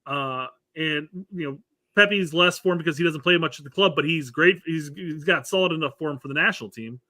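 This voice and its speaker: Bright, dry-sounding male co-host. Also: on the high side, a little fast, no echo. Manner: stumbling restarts, repeats words. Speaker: co-host, former striker. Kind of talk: casual soccer analysis.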